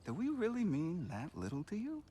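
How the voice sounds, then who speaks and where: An actor playing a wounded, volatile exiled god in a theatrical, emotional video game performance. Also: male voice, sits high, huge pitch swings, brisk, warm tone, breathy edge, exaggerated voice. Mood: indignant. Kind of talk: cinematic video game acting.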